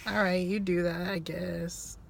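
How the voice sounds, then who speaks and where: A woman's voice: fairly low pitch, little pitch movement, unhurried, creaky. Blunt, rambling woman over background noise. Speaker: co-host of a film review. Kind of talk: casual unscripted review chat.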